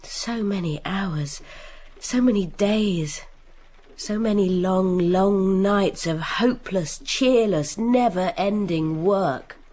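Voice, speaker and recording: An actress playing a weary, sorrowful young seamstress in a radio drama, tender and plaintive, lingering on repeated phrases. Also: female voice, mid-range voice, slow pace, darkish tone. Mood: weary despair. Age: young adult.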